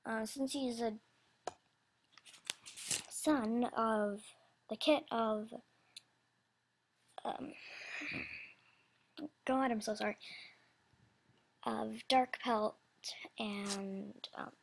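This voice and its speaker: A woman giving a casual, unscripted announcement as an animation creator, halting and scatterbrained, often interrupting herself, in a husky, breathy voice. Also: a little high, steady tone, a little hiss or hum.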